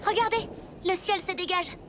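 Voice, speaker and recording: A woman's voice: extremely high pitch, fairly even intonation, normal speed, slight background noise. A woman voicing a feisty, stubborn little girl superhero in a French cartoon, with a defiant manner. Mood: thoughtful. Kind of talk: French cartoon dub acting. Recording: loud music behind the voice.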